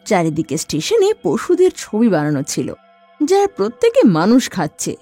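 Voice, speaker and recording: Woman telling a story, super animated pitch, dry close sound. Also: moderate pace, dry recording, faint music behind. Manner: lively storytelling delivery. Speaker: narrator. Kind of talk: scripted storytelling narration.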